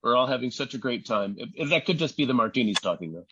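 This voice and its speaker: A man's voice: medium pitch, expressive, fast, lo-fi sound, noisy background. A man, one of a troupe of actors, chatting casually in a jokey, inquisitive way. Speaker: actor in a theatre company. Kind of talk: casual chat.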